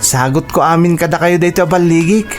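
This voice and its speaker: A man's voice: fairly high pitch, fairly quick, soft background music, lightly acted. A man playing a shy, polite, hesitant young graduate from a poor family in an Ilocano radio drama, with an Ilocano accent. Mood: bashful, respectful.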